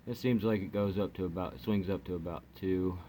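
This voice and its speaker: A man's voice: low-pitched, fairly quick. A man giving a casual, unscripted product demonstration as a hobbyist reviewer, plainspoken and half talking to himself as he works. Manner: plainspoken, muttering to himself while working. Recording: steady background noise, amateur home video.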